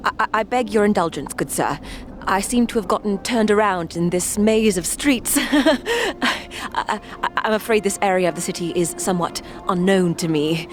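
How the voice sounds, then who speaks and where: Flustered, stammering gentleman conman, played by an actor. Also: high-pitched, lively intonation, normal speed, a little hiss or hum. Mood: anxious pleading. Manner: flustered, stammering, florid polite speech. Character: timid lost gentleman, secretly a pickpocket conman. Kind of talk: fantasy audio drama acting.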